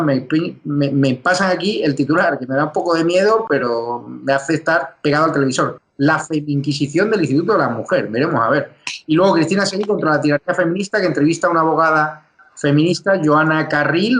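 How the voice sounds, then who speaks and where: A man from Spain hosting a political talk show, emphatic and quick to run through lists. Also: medium pitch, fairly bright tone. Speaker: show host.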